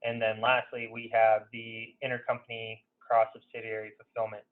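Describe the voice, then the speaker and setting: A man giving an informal software demo as a consultant in a webinar, conversational and hesitant, often saying "you know". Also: medium pitch, completely flat delivery, normal speed. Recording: narrow phone-like webinar audio.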